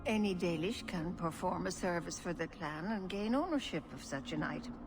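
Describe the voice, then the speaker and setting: An actress playing a calm, stern yet caring older elven clan leader in a fantasy game, speaking formally. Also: female voice, fairly low pitch, music in the background. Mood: solemn, disapproving. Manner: measured, formal. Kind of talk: fantasy video game voice acting.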